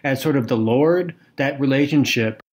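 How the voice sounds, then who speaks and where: A man with a mid-range voice co-hosts a casual book podcast. He talks in a thoughtful, unhurried way, stopping now and then to pick his words. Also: mid-range voice, a little mellow. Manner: thoughtful, reads quotes aloud. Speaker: podcast co-host. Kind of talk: casual literary discussion.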